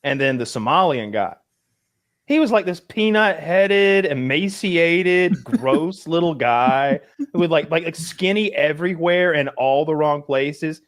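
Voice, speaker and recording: A man's voice: on the high side, measured pace. A man in his thirties co-hosting a podcast, telling stories animatedly and laughing often. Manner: animated storyteller, laughs a lot. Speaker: podcast co-host. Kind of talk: casual comedic chat.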